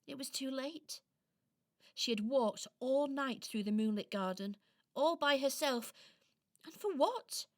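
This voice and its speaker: A woman narrating a scripted children's radio play expressively, with dramatic pauses. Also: sits high, animated.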